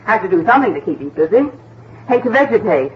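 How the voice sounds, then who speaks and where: An American actress playing a brisk, bold, unsentimental wife in an old-time radio drama, forward and slangy. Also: female voice, medium pitch, big pitch swings, lightly acted. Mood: breezy, forward. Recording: muffled vintage radio broadcast with faint noise.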